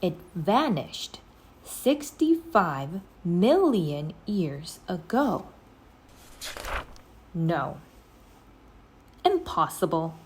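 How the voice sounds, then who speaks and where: Very slow, animated woman storyteller. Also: fairly low pitch, a little hiss or hum. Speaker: read-aloud storyteller. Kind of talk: scripted children's story narration.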